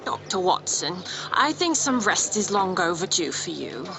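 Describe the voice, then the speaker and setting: Casting: actress as motherly, courteous Victorian housekeeper, expressive mid-pitched delivery, noisy ambience behind. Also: a little slow. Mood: kindly, polite. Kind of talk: radio drama acting.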